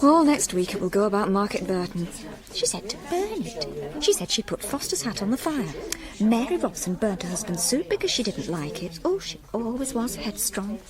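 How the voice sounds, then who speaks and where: An actress playing an outspoken, headstrong married farm woman in a radio drama, homely and expressive. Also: mid-range voice, moderate pace, a crowd in the background, close to an everyday voice. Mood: self-mocking. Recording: clear background noise of scene ambience.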